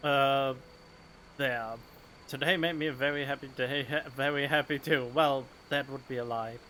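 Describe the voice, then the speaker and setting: Bright-voiced man doing game commentary. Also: mid-range voice, steady tone, moderate pace, a little hiss or hum. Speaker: game streamer. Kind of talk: casual let's play commentary. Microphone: desk condenser microphone. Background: game music.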